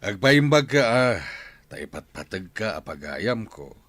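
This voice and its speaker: A village neighbour with an Ilocano accent in a radio drama. His voice sits fairly low and moves with big melodic swings. He is deliberate and slow, conciliatory in temper, pausing over his words. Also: big pitch swings, a bit bright, dry recording, heavily acted. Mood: reassuring. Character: slow-spoken male village neighbour who kept a lion, conciliatory. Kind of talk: Filipino radio drama acting.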